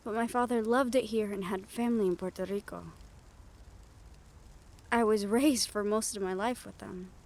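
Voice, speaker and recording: Woman acting as wistful half-banshee siren, female, soft-spoken, confiding, reassuring, intimate audio roleplay, reflective pauses. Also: medium pitch, steady tone, lightly acted. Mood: hesitant, confiding.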